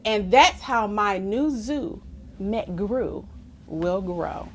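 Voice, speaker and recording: A woman reading a children's story aloud in an expressive, playful, theatrical manner, as if to young listeners. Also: medium pitch, extremely slow, a little hiss or hum. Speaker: story reader.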